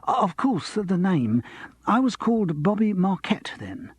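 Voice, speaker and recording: A man's voice: high-pitched, big pitch swings, unhurried, slightly rough, no echo, voice pushed up, heavily acted. A man doing a fussy, eager showbiz pianist.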